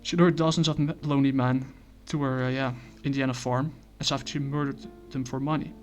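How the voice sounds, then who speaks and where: A man casually reviewing a comic book, talking in a halting way with many fillers and restarts. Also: mid-range voice, steady tone, faint music behind.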